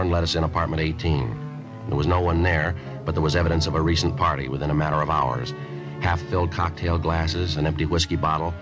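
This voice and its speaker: Stoic police detective, American, bottomless low pitch, clipped matter-of-fact delivery, old radio crime drama, music. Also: male voice. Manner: terse, deadpan, matter-of-fact. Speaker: police detective narrator and lead.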